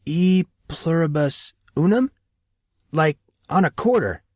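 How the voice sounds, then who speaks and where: A man's voice: on the high side, expressive, takes it slowly, no echo, studio quality, pitched above the performer's own voice. A man voicing a sarcastic, easily flustered teenage boy student in an audiobook story, casual and defensive. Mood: puzzled.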